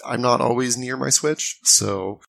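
A man co-hosting a podcast, chatting casually in a low-key manner. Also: fairly even intonation, unhurried, vocal fry, a bit bright. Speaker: podcast co-host. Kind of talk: casual chat.

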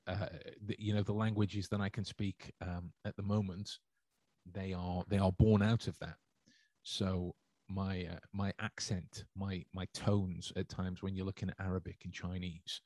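A man's voice: deep voice, darkish tone, no room sound. A man hosting a solo livestream podcast, talking through his thoughts and answering chat with many restarts and fillers. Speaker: podcast host.

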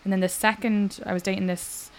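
A woman's voice: medium pitch, little pitch movement, fairly quick, slight background noise, rough recording. A young adult Irish woman, a comedian and podcast co-host, telling dating stories in chatty casual talk and swearing freely. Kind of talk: casual chat.

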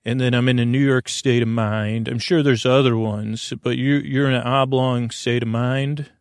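A man with a creaky voice hosting a sleep podcast, meandering through halting, self-deprecating tangents. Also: mid-range voice, fairly even intonation, no room sound, studio quality. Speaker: podcast host. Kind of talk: rambling bedtime sleep podcast monologue.

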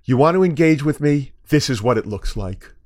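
A man's voice: medium pitch, animated, moderate pace, darkish tone, pitched above the performer's own voice, lightly acted. An American man voicing a scolding, indignant adult sister in a condescending, accusatory way within a personal story.

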